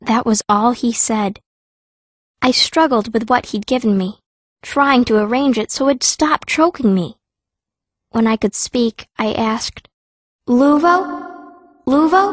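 A woman's voice: a little high, no room sound. A woman playing a fierce, earnest young girl mage who narrates her own story in an audiobook. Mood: tense, sad. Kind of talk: scripted first-person audiobook narration.

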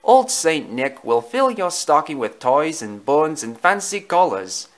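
A man's voice: fairly high pitch, big pitch swings, measured pace, voice pushed up. A man voicing a friendly, hearty male dog with a thick Scottish accent in a story reading.

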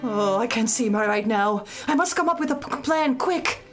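A woman's voice: a little high, animated, moderate pace, faint music behind, natural voice. A woman voicing a vain, scheming young maiden in a comic puppet show, fretful, repetitive and melodramatic. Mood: anxious, melodramatic.

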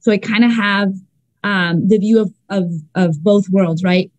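A woman's voice: mid-range voice, fairly even intonation, measured pace, a little mellow, no echo, low-quality recording. A woman from Ecuador, a clinical psychologist, presenting casually in an online seminar with many filler sounds. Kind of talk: academic online seminar talk. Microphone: video call.

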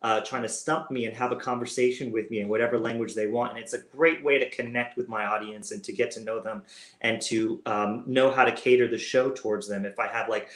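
A man's voice: medium pitch, fairly even intonation, brisk, a bit bright. A young American man, a guest performer on a video call, answering interview questions in an upbeat, expansive way. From United States. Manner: upbeat, expansive, gestures while talking. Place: home room with world map on wall. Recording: roomy video call audio.

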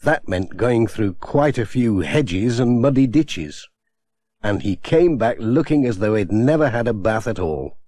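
A man narrating a children's storybook in a gentle, expressive way on a close studio mic. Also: lowish voice, a little slow, dry recording. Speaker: audiobook narrator.

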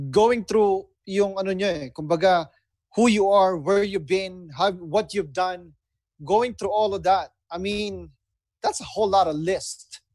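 Male Filipino host, middle-aged, high-pitched voice, conversational, close dry sound. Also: darkish tone, dry recording. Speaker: radio show host. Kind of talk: conversational interviewing in Taglish. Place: indoor room, on a video call. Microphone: video call.

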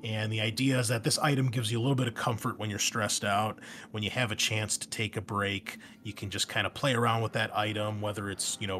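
A man's voice: fairly low pitch, sharp tone. A man hosting a casual, unscripted tabletop game explainer, talking in a conversational way and wandering into asides as he goes. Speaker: host and game master introducing a tabletop game.

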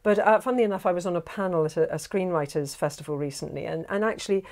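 Animated British woman, lapel mic. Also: on the low side, quick pace. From United Kingdom. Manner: animated, gestures a lot, many restarts. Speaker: guest, actor and audio drama director. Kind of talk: casual interview chat. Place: small studio room with shelves of toys. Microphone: clip-on lapel mic.

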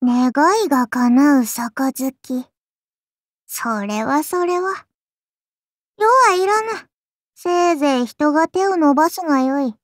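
A woman's voice: high-pitched, lively intonation, slow pace, long pauses, no room sound, clean studio sound. A woman playing a childlike, playful serpent-god oni in an anime game, speaking in a haughty, archaic lordly manner with indulgent teasing. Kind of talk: anime game character voice lines.

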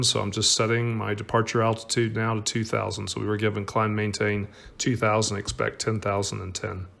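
A man explains his flying as a pilot in a voice-over. His voice is fairly low and gravelly, with a flat, steady pitch as he walks through each step. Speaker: pilot narrating his flight.

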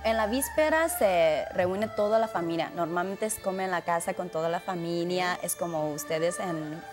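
A woman in her thirties, born in China, speaking carefully and somewhat haltingly as a guest in a TV studio interview. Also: on the low side, over music. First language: Chinese. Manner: careful, slightly halting non-native speech. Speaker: community guest. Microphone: handheld mic held by the host.

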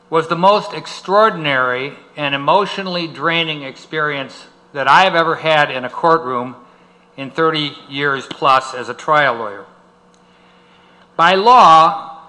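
A man's voice: a little high, bright, forceful tone, low-quality recording. An older man giving a prepared speech to an audience, telling a story in an emphatic, dramatic manner.

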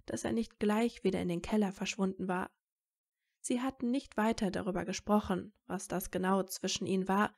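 A woman narrates a story from a script. Her voice sits at an average pitch for a woman, and she serves as the narrator of an audio drama. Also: a little mellow.

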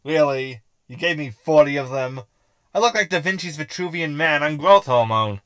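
A man's voice: fairly high pitch, expressive, moderate pace, fairly bright tone, clean tone, dry recording, clean studio sound. A man playing a sarcastic, querulous hologram sidekick who is skeptical and easily unnerved, in a science fiction comedy audio drama. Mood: indignant.

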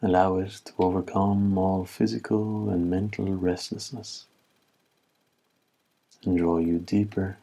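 A man leading a guided meditation as an instructor, speaking in calm, measured phrases with long pauses. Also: deep voice, vocal fry.

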